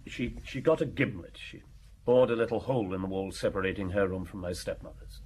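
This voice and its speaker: A man playing a guarded, indignant gentleman answering a police interrogation in an audio drama, stiff and evasive. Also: lowish voice, fairly quick. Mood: defensive. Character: cagey, indignant gentleman stepson cornered under police questioning. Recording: clearly noisy drama recording.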